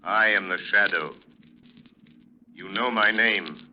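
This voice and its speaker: An actor playing a sinister, taunting invisible avenger in old radio drama style, making slow, ominous pronouncements. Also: male voice, fairly low pitch. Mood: menacing, taunting. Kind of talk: 1930s radio drama acting. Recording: dull, noisy old radio recording.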